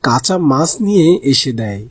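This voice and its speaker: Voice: medium pitch, sing-song, normal speed, a little hiss or hum. A narrator reading a scripted children's fairy tale for a cartoon. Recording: music behind the voice.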